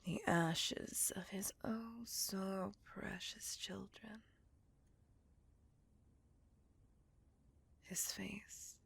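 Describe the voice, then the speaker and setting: A woman playing a seductive, possessive, coldly vengeful vampire in a slow, intimate, breathy whispered ASMR roleplay. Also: medium pitch, steady tone, a bit bright, heavily acted. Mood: cold, gloating. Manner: slow, intimate, deliberate, savouring words.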